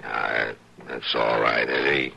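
An actor playing a patient, authoritative frontier marshal in a radio western, speaking plainly and in a measured way with an American accent. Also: male voice, a bass voice, little pitch movement, vocal fry, pushed and bright, husky, exaggerated voice. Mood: gentle, fatherly. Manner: measured, fatherly, plain-spoken. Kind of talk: old-time radio western acting.

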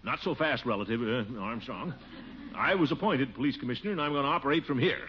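An actor playing a pompous, blustering middle-aged uncle who has become a self-important official, in 1940s radio comedy style. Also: male voice, mid-range voice, animated, fast, natural voice. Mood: bossy, gleeful. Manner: pompous, blustering, swooping delivery with chuckles. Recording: noisy, muffled 1940s radio recording.

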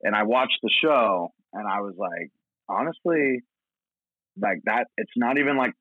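A man's voice: fairly low pitch, a little slow, no room sound. An American man from Portland, Oregon, a comedian guesting on a podcast, telling anecdotes in a rambling, slangy, self-deprecating way over a tinny line. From Portland, Oregon, United States. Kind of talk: casual anecdotal chat.

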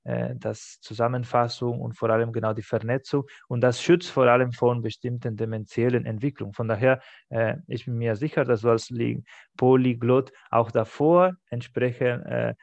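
Guy answering questions after a talk, mid-pitched, slow and thoughtful, flat dry sound. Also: creaky, dry recording, highs cut off. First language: Catalan. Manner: measured, hesitant, thinking aloud. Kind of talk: academic talk and Q&A.

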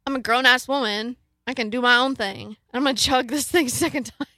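A woman's voice: sits high, brisk, pushed and bright, no echo. A tipsy woman in her twenties chatting and joking on a podcast, giggling often. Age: 20s. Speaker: podcast guest. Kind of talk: casual podcast chat.

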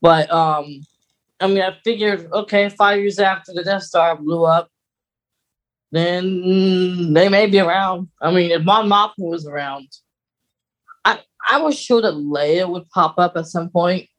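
A man with a fairly high-pitched voice co-hosts a podcast. He talks casually, like a fan explaining fine details, and often halts as he works through them. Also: a little slow, fairly bright tone, rough recording. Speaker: podcast co-host.

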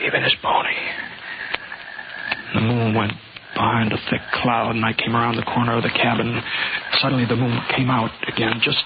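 A man's voice: mid-range voice, completely flat delivery, normal speed, highs cut off, lightly acted. An American man acting a laconic, folksy drifter gunslinger in a 1950s radio western, on a hissy old recording. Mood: tense, reflective. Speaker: lead actor and narrator.

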